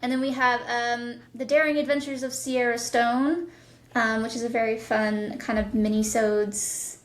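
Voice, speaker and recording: A woman's voice: fairly high pitch, little pitch movement, unhurried. A woman chatting casually as a guest in a podcast interview. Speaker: guest, audio drama producer and voice actor. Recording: roomy, echoing sound.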